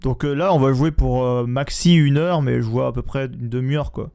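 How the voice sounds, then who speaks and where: A man's voice: mid-range voice, moderate pace, mellow. A tired man in his twenties chatting and joking with friends while gaming on stream. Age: 20s. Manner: joking, playful complaints. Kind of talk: casual chat while gaming.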